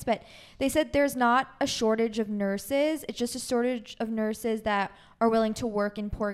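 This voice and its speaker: Clear-voiced young American woman. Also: on the high side. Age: young adult. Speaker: podcast co-host. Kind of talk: casual chat.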